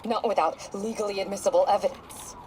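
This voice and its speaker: A woman's voice: medium pitch, fairly even intonation, fairly quick, darkish tone, lightly acted. An actress playing a driven, frustrated police captain confiding tersely in a video game, with slight noise behind her. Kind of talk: video game voice acting, dramatic dialogue.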